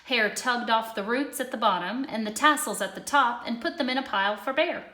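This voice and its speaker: A woman narrating a children's storybook in an expressive read-aloud style. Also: on the high side, a little fast. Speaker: storybook reader. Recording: echoey room.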